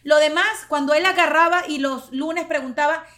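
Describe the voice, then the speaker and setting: A woman's voice: high voice, normal speed, fairly bright tone. A woman in her forties hosting a podcast, telling stories in a chatty, playful way and laughing often. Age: 40s. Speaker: podcast host. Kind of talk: casual storytelling monologue.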